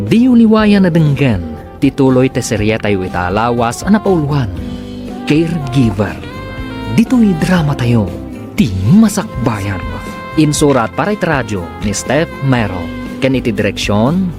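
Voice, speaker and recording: A man with a northern Philippine accent narrating and announcing a scripted radio drama serial. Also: mid-range voice. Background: music.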